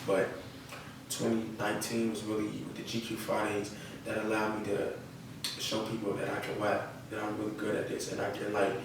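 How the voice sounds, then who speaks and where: A young man chats casually in slang, as a guest being interviewed. His delivery stays fairly flat and gravelly. He is heard off the mic in a noisy room. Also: fairly low pitch, moderate pace, heavy reverb, recorded from a distance. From North Carolina, United States. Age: young adult. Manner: slangy, often checks with "you feel me".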